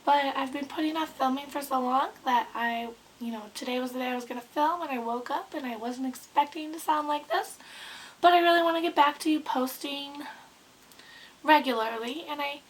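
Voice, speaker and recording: A woman in her twenties with a hoarse, sick voice, chatting casually to camera in a rambling way with lots of ums. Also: high voice, moderate pace, rough recording. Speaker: beauty vlogger.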